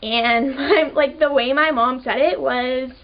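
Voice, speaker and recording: Chatty high-voiced woman of twenty. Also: fairly even intonation, takes it slowly, highs cut off. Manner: casual, chatty, many "like" fillers. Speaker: vlogger. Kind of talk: personal storytelling to camera.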